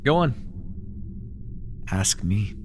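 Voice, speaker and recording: A man playing a sly, haughty, taunting dark-magic villain ruler in a fantasy audio roleplay, with a mocking, drawling delivery. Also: lowish voice, sing-song, very slow, a little hiss or hum, exaggerated voice. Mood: smug, taunting.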